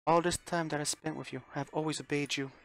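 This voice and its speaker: A man's voice: a little high, steady tone, quick pace, voice pushed up, close to an everyday voice. A man voicing a desperate, unhinged young woman who pleads and stammers through repeated restarts. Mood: anguished. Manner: pleading, sobbing, stumbling restarts.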